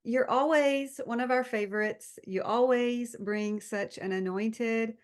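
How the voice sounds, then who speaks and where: A woman's voice: fairly high pitch, unhurried. A woman pastor hosting a faith podcast, chatting in an encouraging, upbeat way. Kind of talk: casual faith podcast chat.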